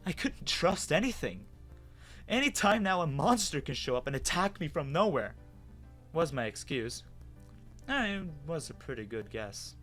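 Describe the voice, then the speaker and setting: Male narrator for a dramatic audio story: animated, swinging intonation, theatrical pauses, music underneath. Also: on the high side.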